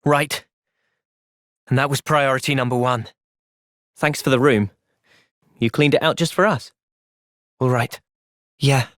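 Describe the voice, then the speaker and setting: A man's voice: mid-range voice, fairly even intonation, measured pace, pauses often, fairly bright tone, dry recording, studio quality, lightly acted. A man playing an earnest, thoughtful young soldier hero with a British accent in a video game. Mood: earnest, calm. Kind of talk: video game cutscene voice acting.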